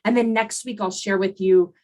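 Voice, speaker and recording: A woman's voice: medium pitch, steady tone, moderate pace, a little mellow. A woman hosting a solo coaching podcast, talking conversationally with frequent fillers and restarts, her voice recovering after laryngitis. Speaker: podcast host and consultant coach.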